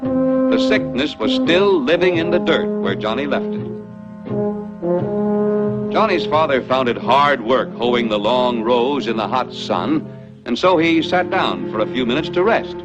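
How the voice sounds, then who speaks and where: A dull, vintage film soundtrack with loud music carries a man's narration. His pitch moves in lively swings as he explains things in a folksy, didactic storytelling way, in the scripted style of a 1940s educational film. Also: a little slow.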